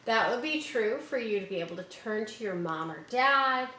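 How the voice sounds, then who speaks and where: A woman reads a picture book aloud to children like a teacher, with expressive emphasis and chatty, encouraging asides. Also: a little high. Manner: expressive, drawn-out emphasis on key words; encouraging, explaining to young listeners. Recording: roomy echo with background noise.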